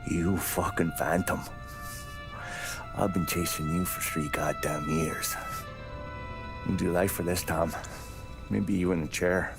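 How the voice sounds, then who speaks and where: A male actor playing a dogged, sardonic lawman in a video game, gruff and terse with a menacing edge. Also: low-pitched, fairly even intonation, slow, long pauses, music in the background, full character voice. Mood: bitter, probing. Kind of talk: video game cutscene acting.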